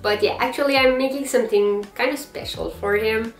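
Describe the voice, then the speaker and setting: A young adult woman with a French Canadian accent, whose first language is French, hosting a casual improvised vlog from a small room, pausing often with fillers and short laughs. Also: a little high, reverberant, faint music behind. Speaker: cosplayer vlog host. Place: small indoor guest room with manga shelves.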